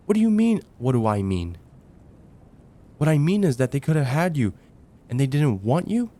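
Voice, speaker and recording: A man playing a lovestruck young heartbreaker friend in an ASMR roleplay, speaking in an earnest, halting, stammering way. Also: medium pitch, very animated, warm tone, close to an everyday voice. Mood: exasperated, adoring. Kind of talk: ASMR roleplay, intimate dramatic monologue.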